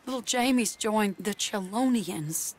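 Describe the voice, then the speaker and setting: An actress playing an anxious, genteel young frontier widow, earnest and restrained in a game cutscene. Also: female voice, mid-range voice, expressive, measured pace. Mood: pleading. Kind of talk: dramatic video game cutscene acting.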